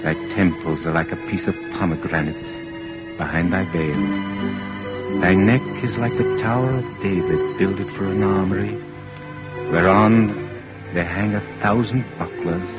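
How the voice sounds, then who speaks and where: Deep, slow, solemn biblical reciter. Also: male voice. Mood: solemn adoration. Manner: slow, declamatory. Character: solemn, adoring male reciter of biblical love verse. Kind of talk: solemn poetic scripture recitation, old-time radio. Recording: dull vintage radio recording, loud music. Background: loud music.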